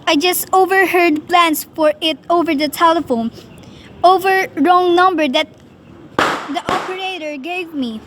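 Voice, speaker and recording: A woman's voice: extremely high pitch, measured pace, a bit bright, a little hiss or hum. A woman playing an agitated, self-important married lady in a radio play, imperious, flustered and prone to stammering. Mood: flustered, urgent.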